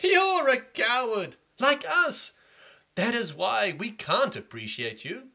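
A man voicing a group of boys who jeer and mock in high, loud voices. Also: animated, measured pace, husky, full character voice. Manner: mocking, jeering.

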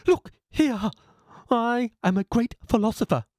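A storyteller voicing a hurried, self-important male philosopher who shouts and then turns humble, in a read-aloud story. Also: medium pitch, expressive, dry recording, clean studio sound, voice pushed up, lightly acted. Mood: indignant.

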